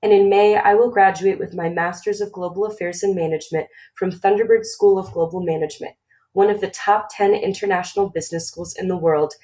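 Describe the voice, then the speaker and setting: A woman's voice: mid-range voice, steady tone, fairly quick, dark tone, low-quality recording. A woman in her twenties from the United States, whose first language was Romanian, giving a scripted webinar presentation in a formal, read-aloud manner. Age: 20s.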